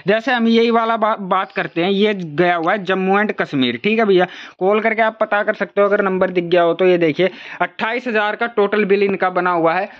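A man's voice: high voice, normal speed, few pauses, highs cut off. A man hosting a walking tour of a crowded wholesale toy shop, asking the seller for prices and repeating each one back to his viewers. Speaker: market video host.

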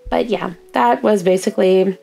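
A young Canadian woman with a hoarse, gravelly voice, chatting casually in a vlog voice-over about her weekend. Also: medium pitch, moderate pace, dark tone, faint music behind. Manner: chatty, upbeat recounting. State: hoarse, lost voice. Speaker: doll artist vlogger.

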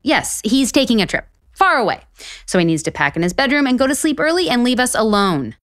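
Sarcastic, flustered author, very animated. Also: female voice, fairly high pitch, a little fast, no room sound, studio quality. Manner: sarcastic, excitable. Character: sarcastic, flustered, easily exasperated woman author. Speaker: actor in a romantic comedy audio drama. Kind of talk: romantic comedy audio drama acting.

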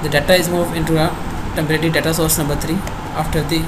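Young Pakistani man, noisy recording. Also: on the high side. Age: young adult. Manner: halting, non-native English phrasing. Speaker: student presenter. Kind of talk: screen-recorded software demonstration. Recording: noisy home screencast, steady background hum. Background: constant clear background noise.

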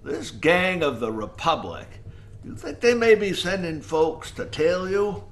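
Slow, weary old lighthouse keeper. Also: male voice, fairly high pitch, big pitch swings. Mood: wary. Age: older. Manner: folksy, ruminative drawl. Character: weary, wary old male lighthouse keeper, war veteran. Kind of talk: audio drama acting.

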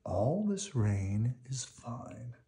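A man voicing a gentle, friendly female talking tree in a storybook, with earnest, drawn-out speech. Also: medium pitch, sing-song, exaggerated voice. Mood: contented.

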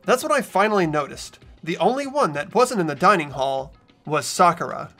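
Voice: medium pitch, animated, normal speed, pushed and bright. An actor voicing an earnest, anxious teenage boy hero in a video game. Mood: startled. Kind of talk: amateur video game voice-over. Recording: background music throughout.

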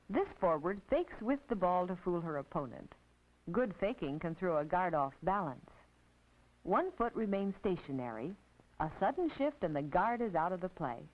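American woman, instructional narrator, thin band-limited old film sound, expressive pitch movement, measured scripted phrasing, precise teacherly delivery. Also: narrow, tinny audio. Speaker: narrator and physical education instructor.

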